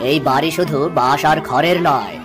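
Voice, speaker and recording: A woman's voice: low voice, brisk, over music, natural voice. A woman voicing a teasing, gossipy village shopkeeper who spins mysterious rumours in a cartoon story.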